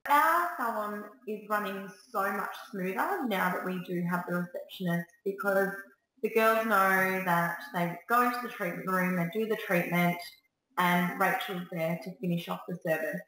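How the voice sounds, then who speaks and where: Salon owner, woman, 30s; upbeat and chatty, bright tone, webcam in a boomy little room. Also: moderate pace. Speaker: salon owner giving business tips. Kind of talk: direct-to-camera business advice. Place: small salon room, indoors. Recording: echoey room.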